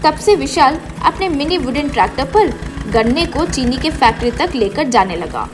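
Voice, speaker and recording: A woman's voice: sits high, sing-song, normal speed, over music. A woman reading a scripted story as its narrator.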